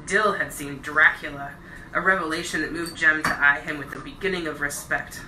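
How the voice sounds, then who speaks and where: A woman with a low, very bright and forceful voice reads literary fiction aloud. Her delivery is expressive and deliberate, leaning on key phrases. She sounds set back from the mic in an echoey room. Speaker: storyteller reading a novel aloud. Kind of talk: bedtime story reading, literary narration. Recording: roomy echo, distant mic, faint noise.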